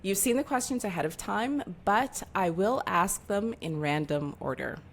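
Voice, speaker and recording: Female interview host, mid-pitched, playful and informal, slight noise behind. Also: expressive, moderate pace. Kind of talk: casual, playful quiz-style interviewing.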